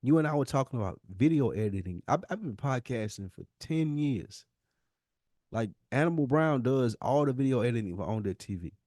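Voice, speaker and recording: A man's voice: medium pitch, lively intonation, a little fast, darkish tone, dry recording, studio quality. An American man co-hosting a podcast, talking casually and candidly in an earnest, slangy way and repeating words for emphasis. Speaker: podcast co-host.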